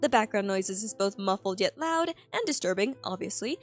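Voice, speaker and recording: A woman's voice: mid-range voice, animated, normal speed. A woman narrating a scripted horror video recap as its host, with informal, slangy asides. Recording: music bed under the voice. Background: piano and eerie background music.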